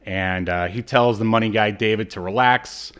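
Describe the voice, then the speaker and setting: A man co-hosting a podcast, recapping in a casual, halting, conversational way that trails off. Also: fairly low pitch, a bit bright, clean tone. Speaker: podcast co-host. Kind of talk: casual chat, recapping a TV episode.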